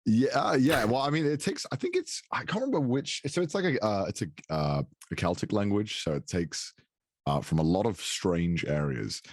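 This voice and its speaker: A young man with a very deep voice that still rises and falls a lot. He talks loosely, laughing and cursing. The sound is close and dry, with no room echo. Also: sing-song, normal speed. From North Wales. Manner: laughs often, swears casually, trails off and restarts. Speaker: guest. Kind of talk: casual podcast chat.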